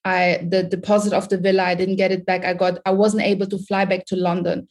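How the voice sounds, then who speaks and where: A woman's voice: mid-range voice, level tone, a little fast, darkish tone. A woman from Sudan, a confidence coach, talking as a guest on a podcast in an upbeat, encouraging way and laughing often. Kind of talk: casual interview chat.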